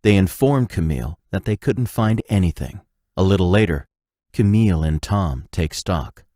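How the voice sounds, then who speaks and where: A man narrating an audio drama from a script, announcing scenes in a measured way. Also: low voice, lively intonation, warm tone, dry recording.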